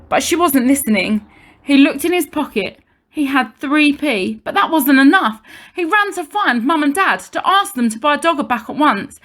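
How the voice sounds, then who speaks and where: Higher-pitched woman reading a children's story. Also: fairly bright tone. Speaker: primary school teacher reading a story.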